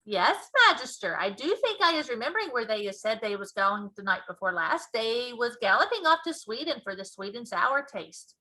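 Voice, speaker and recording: A woman voicing a big friendly male giant from a children's storybook, excitable and speaking in folksy, muddled grammar. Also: on the high side, normal speed, few pauses, rough recording. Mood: earnest recalling. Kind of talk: children's storybook character reading.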